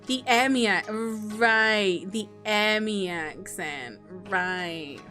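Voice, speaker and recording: A woman's voice: mid-range voice, very slow, sharp tone, soft background music. A woman streamer chatting casually about a game and laughing at her own voice acting. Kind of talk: casual gameplay chat.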